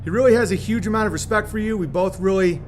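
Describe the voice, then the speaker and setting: A man's voice: high-pitched, fast talker, slight background noise. A man chatting casually in slangy, sweary language as a host, partly reading a letter aloud. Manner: laid-back slangy talk with frequent swearing.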